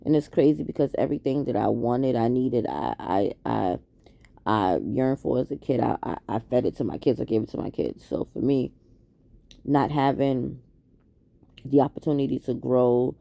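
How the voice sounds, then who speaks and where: A woman's voice: very deep voice, gravelly, dark tone, no room sound. A woman around forty, talking to camera in a candid, hesitant, reflective way, with many ums and restarts. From Queens, New York. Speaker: vlogger. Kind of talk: candid late-night confessional talk to camera.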